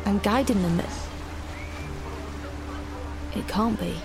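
A woman's voice: mid-range voice, slow pace, gravelly, over music. A woman playing a wary, searching young woman who narrates her own story in an audio drama. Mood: disbelieving.

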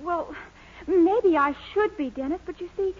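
An actress playing a doubtful, gently patronizing young girlfriend in a 1940s radio comedy, patient and hesitant. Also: very high-pitched, a little slow. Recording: dull, lo-fi 1940s radio recording.

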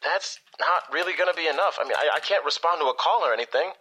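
A man's voice: fairly high pitch, quick pace, sharp tone, breathy edge. A young man acting as a nervous, doubtful superhero intern in an audio drama, hesitant and stammering. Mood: flustered, protesting. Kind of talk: naturalistic audio drama dialogue.

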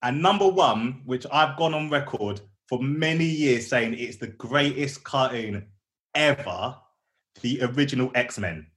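A man's voice: medium pitch, unhurried, fairly bright tone. A British man co-hosting a podcast with casual, teasing, slangy banter. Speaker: podcast co-host.

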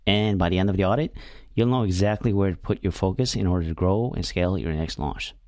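Man, very low voice, non-native English speaker, podcast host, casual rambling solo talk, lots of fillers. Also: fast talker. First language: not English. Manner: relaxed, rambling with many fillers and restarts.

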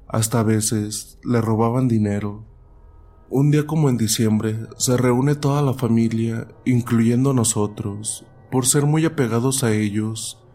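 A man's voice: medium pitch, mellow. A man hosting and narrating a show of listeners' stories, reading them aloud in a storytelling style.

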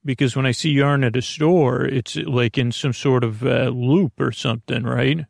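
A man hosting a bedtime podcast in a gravelly voice, rambling in a halting, meandering way, repeating words and circling back after losing the thread. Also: medium pitch, fairly even intonation, no echo, clean studio sound. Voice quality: gravelly, creaky voice. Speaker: podcast host.

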